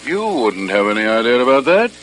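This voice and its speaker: A man playing a brisk, suspicious police superintendent in an old British radio mystery, authoritative, with a British accent. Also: mid-range voice, sing-song, a bit bright, faint music behind. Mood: pointed, suspicious. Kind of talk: old-fashioned British radio drama acting. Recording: noisy vintage radio recording with hum.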